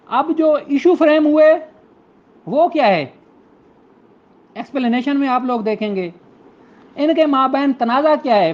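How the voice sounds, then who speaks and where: A man with a Pakistani accent giving an informal law lecture, explaining with rhetorical questions and reading out statutes slowly and deliberately. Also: very high voice, highs cut off.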